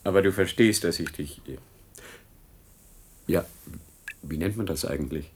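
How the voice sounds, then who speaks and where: An actor playing a cynical, gloating murderous scientist with a mocking, taunting delivery in a German radio play. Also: male voice, low voice, a little slow, a little mellow, a little hiss or hum.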